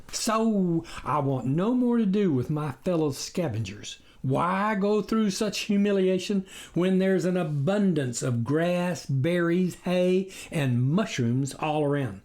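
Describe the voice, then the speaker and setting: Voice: mid-range voice, expressive, slow pace, hardly any pauses, darkish tone. A reader voicing a sly wolf-like stranger in a storybook satire, sweet-talking and preaching with indignant persuasion.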